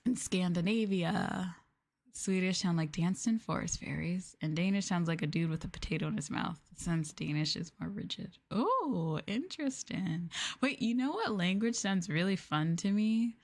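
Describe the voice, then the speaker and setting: Giggly, rambling Canadian woman, low voice. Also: lively intonation, moderate pace. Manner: rambling, giggly, self-deprecating, lots of "like" and "um". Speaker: VTuber streamer. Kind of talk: casual late-night chat stream.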